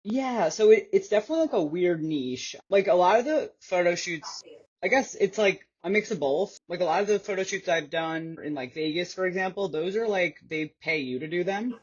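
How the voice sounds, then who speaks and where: A woman with a slight New York accent chats as a bodybuilder guest on a podcast, thoughtful and quick to laugh. Her voice is husky and breathy. The recording sounds dull and lo-fi. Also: on the low side, animated. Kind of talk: casual chat.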